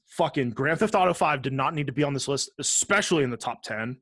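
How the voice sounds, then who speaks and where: Casual man podcasting, fairly low, dry sound. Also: expressive, fast, fairly bright tone, breathy edge, dry recording. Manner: casual, frequent swearing. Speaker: podcast co-host. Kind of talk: casual chat, reading out a ranked list with commentary.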